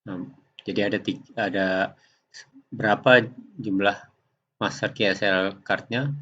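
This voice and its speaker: A man gives an unscripted software demonstration, explaining step by step in a halting way. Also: on the low side, fairly even intonation, breathy edge, dull audio. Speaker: software tutorial presenter. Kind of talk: unscripted screen demonstration narration.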